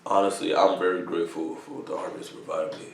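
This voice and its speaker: A man's voice: sits low, flat delivery, fast, reverberant, recorded from a distance, slight background noise. A man in his thirties from New York, a soldier casually rambling in slangy talk with lots of "bro" and swearing. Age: 30s. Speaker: vlogger, army soldier. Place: army barracks room.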